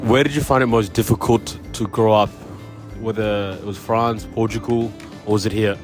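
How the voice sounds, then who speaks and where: A man's voice: fairly low pitch, steady tone, normal speed, music in the background. A man hosting an interview, asking short, direct questions. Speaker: interview host.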